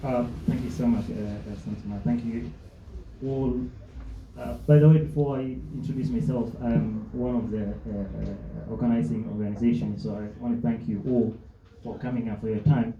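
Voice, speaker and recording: A man's voice: mid-range voice, fairly even intonation, moderate pace, background noise. Guy, earnest, lots of uh's, echoey room, bit muffled. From Somalia. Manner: earnest, with frequent hesitations. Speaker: panel speaker, humanitarian activist. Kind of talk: panel talk. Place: event hall with audience. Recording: echoey hall, dull lo-fi.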